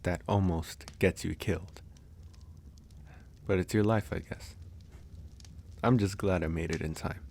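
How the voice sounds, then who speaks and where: A man playing a gentle, reassuring young werewolf who lives alone on a mountain, soft-spoken in an ASMR roleplay. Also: sits low, steady tone, measured pace, vocal fry, long pauses, slight background noise. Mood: gently chiding.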